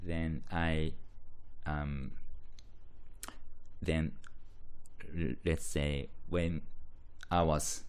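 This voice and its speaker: A man's voice: very deep voice, little pitch movement, extremely slow, long pauses, clear, resonant tone. A man with a Japanese accent, a native Japanese speaker, giving a lecture that explains terms, hesitantly repeating and restating key phrases. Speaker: university lecturer in linguistics.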